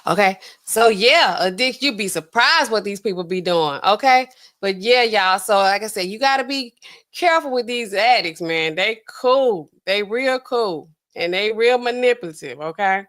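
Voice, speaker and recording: A woman, hosting a casual livestream and chatting through viewer comments in a sassy, animated way. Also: mid-range voice, normal speed. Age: 40s. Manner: chatty, sassy, animated swings between loud and hushed. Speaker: livestream host.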